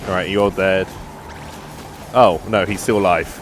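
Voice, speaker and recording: A man with a fairly low voice commentates on a game he is playing. His delivery is casual and offhand, like someone thinking aloud for viewers. Game music is clearly audible behind him. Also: steady tone, measured pace. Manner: offhand reactions, muttering to himself. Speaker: gaming video host. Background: video game music and sounds.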